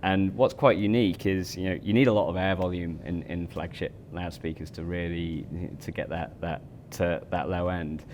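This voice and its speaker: A man's voice: sits low, steady tone, moderate pace, few pauses, darkish tone, slight background noise. A man in his forties explaining a product in a hotel demo room, stumbling over repeated words and fillers. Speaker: speaker company representative.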